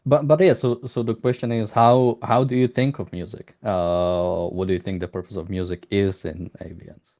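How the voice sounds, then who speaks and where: Halting male interviewer, lowish voice. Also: fairly even intonation, darkish tone. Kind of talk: conversational developer interview.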